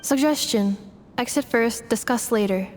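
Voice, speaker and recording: A woman's voice: fairly high pitch, measured pace, soft background music, lightly acted. A woman voicing a literal, dutiful female robot in a video game, speaking in a clipped, formal, machine-like way. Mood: matter-of-fact.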